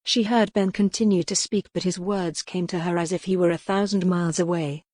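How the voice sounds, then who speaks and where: Female audiobook narrator for historical romance, lower-pitched voice, dry close studio sound. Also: fairly even intonation, a little fast, a little mellow, no room sound.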